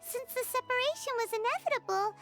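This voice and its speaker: A woman voicing a small, earnest, chatty fairy companion with a squeaky childlike voice in a video game. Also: a little fast, music in the background. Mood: reassuring.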